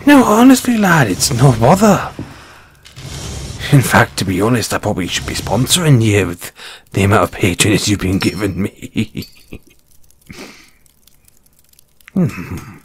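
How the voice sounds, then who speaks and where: A man playing a kind, gruff dwarf blacksmith and former adventurer in a fantasy audio roleplay, speaking in a folksy, avuncular way. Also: lowish voice, very animated, normal speed, slight background noise. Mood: warm, businesslike. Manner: hesitant, folksy, avuncular, addresses listener as lad. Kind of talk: fantasy audio roleplay acting, second-person dialogue.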